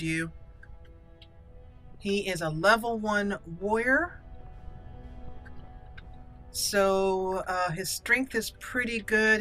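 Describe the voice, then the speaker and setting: A woman's voice: medium pitch, bright, forceful tone. A woman hosting a let's-play video, commenting casually and talking to herself and the game characters as she plays. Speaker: gaming video host. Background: game music.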